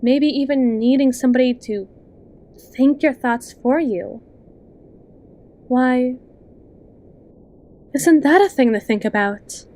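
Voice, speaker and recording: A woman plays a sly, possessive best friend in an ASMR roleplay. Her voice is fairly high and soft, and she takes her time over every phrase. She coaxes and talks down to the listener like a hypnotist easing someone under her control. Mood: needling, manipulative. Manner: slow, coaxing, condescending, with lingering pauses.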